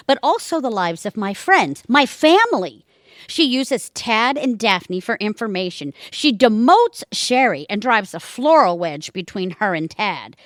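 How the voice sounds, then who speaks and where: Woman acting a warm but fierce family defender in an audio play, emphatic, big swings in pitch, close dry sound. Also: no echo. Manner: dramatic, emphatic. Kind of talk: amateur audio theatre acting.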